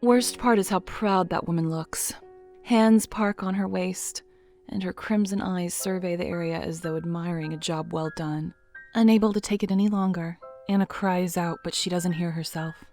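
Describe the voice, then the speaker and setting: A woman narrating an audio drama in a measured, dramatic storytelling manner. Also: fairly low pitch, steady tone, a little mellow, faint music behind.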